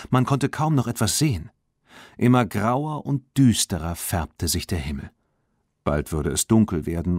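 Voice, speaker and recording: A man reading a children's audiobook as narrator in an expressive storytelling manner. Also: sits low, no room sound, studio quality.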